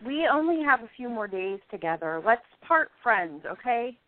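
High-voiced, bitter young actress played by a woman. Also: sing-song, moderate pace. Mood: curt, withdrawn. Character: depressed, envious, defensive actress almost thirty. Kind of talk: radio play acting. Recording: thin phone-like audio.

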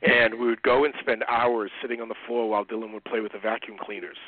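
A man's voice: mid-range voice, little pitch movement, fast talker, no echo. A middle-aged man with an American accent telling anecdotes in a casual, conversational interview style, as a guest over a narrow phone line. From United States. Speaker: guest, parent and speaker. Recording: narrow muffled phone-line radio sound.

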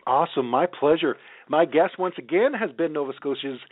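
A man's voice: on the high side, expressive, moderate pace, no room sound. A man hosting a radio interview, speaking in an encouraging, appreciative way. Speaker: radio host interviewing a musician. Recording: narrow phone-like band.

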